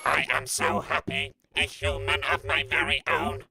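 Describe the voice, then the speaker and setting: A man's voice: on the high side, monotone, measured pace, gravelly, sharp tone, full character voice. A man playing a possessive, doting robot bride in a radio comedy, stiff and menacingly affectionate. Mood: smitten. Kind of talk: scripted comedy radio acting.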